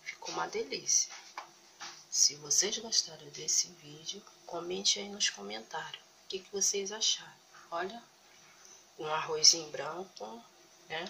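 A woman's voice: on the low side, a little slow, creaky, pushed and bright, slight background noise, poor audio. A Brazilian woman with a cold, talking homely and casual as she films her cooking and groceries in a home kitchen on a phone, repeating endearments to her viewers. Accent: Brazilian Portuguese. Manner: homely, repeats "meus amores" and "tá" constantly. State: has a cold, congested voice. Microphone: phone camera held over food, speaker off screen.

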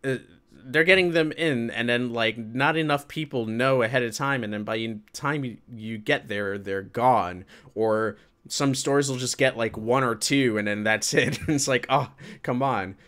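A man in his thirties hosts a livestream in a loose, colloquial style. His voice sits at a middle pitch. He talks casually to his viewers and reacts to them in an animated way. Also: measured pace. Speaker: livestream host. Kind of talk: casual chat with live viewers. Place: indoor room with green screen.

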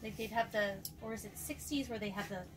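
Enthusiastic middle-aged woman, slightly high. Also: fairly quick, music in the background. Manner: enthusiastic, admiring exclamations. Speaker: museum outreach programmer. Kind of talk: casual show-and-tell chat. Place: museum basement storage room among clothing racks.

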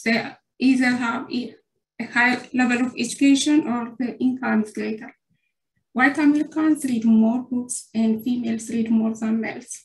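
Woman from Ethiopia, fairly high pitch, careful scripted presenter, roomy echo. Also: darkish tone. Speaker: bootcamp student presenting a capstone. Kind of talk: reading a prepared presentation. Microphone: video call.

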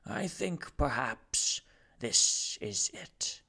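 Serene patrician gent, read by a man, glottal tenor, slow and measured. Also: medium pitch, lively intonation, gravelly, pushed and bright, husky, exaggerated voice. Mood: calm.

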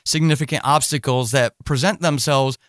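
A man's voice: mid-range voice, normal speed, fairly bright tone, no echo, studio quality. A man hosting a casual podcast interview, talking in an earnest, rambling way.

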